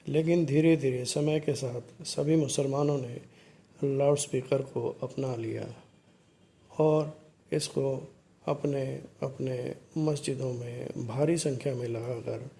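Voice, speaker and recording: A man giving explanatory commentary to viewers in short, halting phrases, as the narrator of a video. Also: mid-range voice, steady tone, creaky, dark tone.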